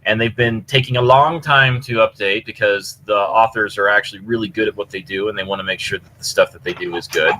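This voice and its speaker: A man's voice: fairly low pitch, fairly even intonation, brisk, few pauses, pushed and bright, low-quality recording. A man giving a casual, enthusiastic, rambling review of stories as a podcast co-host, speaking over an online voice chat. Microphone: computer mic on online voice chat. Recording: clear background noise, push-to-talk online voice chat.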